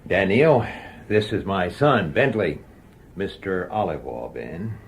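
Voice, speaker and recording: An actor playing a blunt, world-weary police detective with an impatient manner, in an old radio drama. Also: male voice, deep voice, animated, takes it slowly, slight background noise, exaggerated voice. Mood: brisk, slightly uneasy. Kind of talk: old-time radio drama acting and narration.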